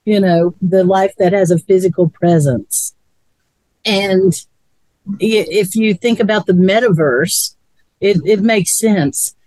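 Musing woman, slow and dry. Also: mid-range voice, a little mellow, no echo, rough recording. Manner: musing, repeats words while thinking. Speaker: co-host. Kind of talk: casual chat.

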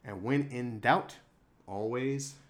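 A man at a middle pitch delivers a passionate solo rant on his own podcast. He leans hard on repeated lines and lets his pitch and volume surge and drop. The sound comes from a single mic on a laptop. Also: slow pace. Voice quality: self-described monotone voice. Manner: emphatic and repetitive, with big swings in pitch and loudness. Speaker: podcast host. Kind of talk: impassioned solo podcast monologue.

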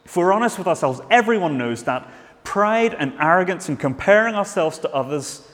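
A man's voice: high-pitched, expressive, moderate pace, fairly bright tone, in a large hall. A man preaching a sermon in a church, earnest, with frequent restarts and fillers.

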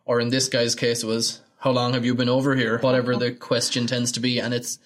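Irish man, 20s, Galway accent, relaxed storytelling podcast co-host. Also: medium pitch, brisk. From Ireland. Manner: chatty storyteller, acts out quoted people in raised voices. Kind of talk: casual podcast chat.

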